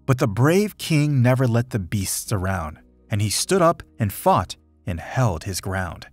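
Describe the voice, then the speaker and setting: A man reads a children's story in rhyming verse with a slightly husky, breathy edge to his voice. His pitch moves in a lively, dramatic way as he tells the tale. Faint music plays behind him. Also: measured pace, no room sound. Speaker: storybook reader. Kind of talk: children's picture book read-aloud, rhyming verse.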